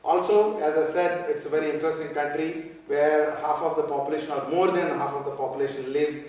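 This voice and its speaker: A man from Nepal giving a conference talk on social work, halting and full of fillers and repeated words. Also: a little high, little pitch movement, darkish tone. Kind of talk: conference presentation. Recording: echoey hall, speaker far from mic.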